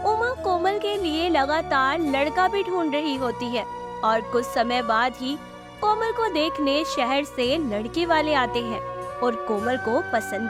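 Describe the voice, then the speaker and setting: A woman narrates a scripted moral story. Her voice is high-pitched, and music is clearly heard behind her.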